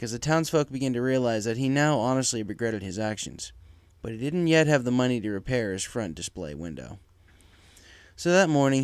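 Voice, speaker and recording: A man's voice: mid-range voice, no room sound. A man reading scripted story narration as the narrator, close to the microphone. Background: music.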